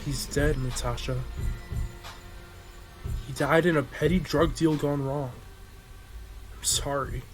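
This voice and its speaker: Grave, knowing teenage cousin, lightish pitch, calm delivery, clear music behind. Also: male voice, slow, pauses often. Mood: grave, gentle. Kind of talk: audio drama acting.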